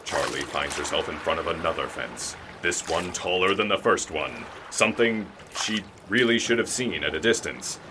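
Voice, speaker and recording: An actor playing a genial, folksy narrator, delivering scripted radio drama narration in a theatrical way. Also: male voice, deep voice, moderate pace, few pauses, background noise, lightly acted. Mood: storytelling.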